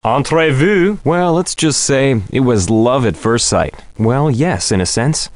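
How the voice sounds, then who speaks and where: A man's voice: mid-range voice, lively intonation, measured pace, no echo, clean studio sound, natural voice. A man playing a suave, flirtatious soap opera star in a video game, speaking theatrically. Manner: theatrical, flowery.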